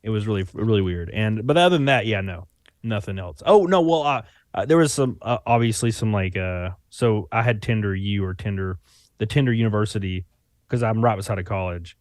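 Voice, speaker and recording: A man's voice: lowish voice, lively intonation, brisk, a little mellow, no echo, clean studio sound. A young man with a Southern Alabama accent, talking loosely in a casual chat, full of fillers and restarts. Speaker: YouTuber guest. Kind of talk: casual conversation.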